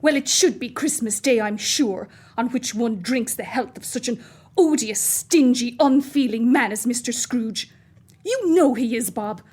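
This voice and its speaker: A brisk, sharp-tongued mother, played by a woman orders her family around in a radio play. Her voice is high and somewhat husky. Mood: scornful. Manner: bossy and scornful.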